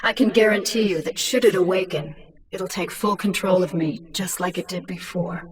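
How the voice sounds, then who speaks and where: A woman voice acting a cunning, commanding infested queen in a video game, with a cool, measured, persuasive delivery. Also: on the low side, far from the mic, slight background noise, lightly acted. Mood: grave warning. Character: cunning, commanding infested alien queen, former mindless killer.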